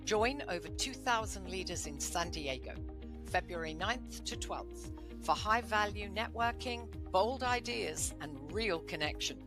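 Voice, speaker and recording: A woman's voice: mid-range voice, animated, a little slow, music in the background. A British woman reading a scripted sponsor spot as a podcast host. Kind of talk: scripted ad read.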